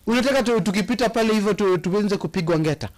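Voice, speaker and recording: Impassioned Kenyan man, fairly high voice. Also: rapid-fire. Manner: impassioned, reads comments aloud with hesitant fillers. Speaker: co-host reading viewer comments. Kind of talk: casual live chat mixing English and Swahili.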